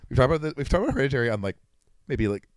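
A man's voice: medium pitch, very animated, rapid-fire, a little mellow, no echo. A young man co-hosting a podcast, chatting loosely about a movie with lots of "like" and the odd swear word. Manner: casual, filler-heavy, swearing. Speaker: podcast co-host. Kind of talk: casual movie commentary chat.

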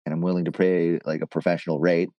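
A man playing an awkward, scrappy amateur ghost hunter and podcaster, talking in a halting, casual, rambling way. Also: sits low, little pitch movement, lo-fi sound. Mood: defensive, casual. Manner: halting, casual, explains gear earnestly. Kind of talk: naturalistic audio drama acting, rambling informal narration.

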